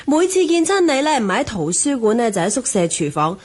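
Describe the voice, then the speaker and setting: A woman's voice: a little high, very animated, brisk, a little mellow. An actress playing a pushy, outgoing young female student in a Cantonese radio drama, exclamatory and teasing.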